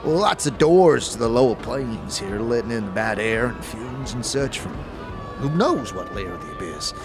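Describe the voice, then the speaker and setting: A man playing a sickly old ex-warrior in a fantasy audio drama, with a gravelly wheeze, stammering, chuckling folksy slang. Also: mid-range voice, animated, moderate pace, lightly acted. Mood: wry, wistful. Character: sickly old ex-warrior tavern drinker, wry and grumbling. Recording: music under the voice.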